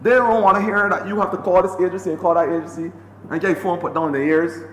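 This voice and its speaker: Barbadian man, MP, fiery repetitive floor speech, raised voice, booming chamber reverb. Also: steady tone, fast talker, a bit bright. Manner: impassioned, repetitive oratory. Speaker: member of parliament. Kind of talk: parliamentary debate speech. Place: parliament chamber. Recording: echoey chamber with long reverb and faint hiss.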